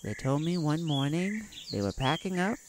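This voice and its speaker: A woman voicing a kindly, hesitant male neighbor in an ASMR roleplay, telling a story in a halting, folksy way. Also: deep voice, big pitch swings, noisy background. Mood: wistful, folksy. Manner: folksy, halting, sighing between thoughts.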